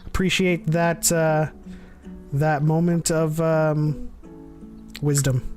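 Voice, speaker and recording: A male podcast host who talks in a loose, rambling way and keeps restarting his sentences. His voice sits at a middling pitch, with faint music playing behind him. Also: level tone, slow, darkish tone. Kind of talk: casual chat.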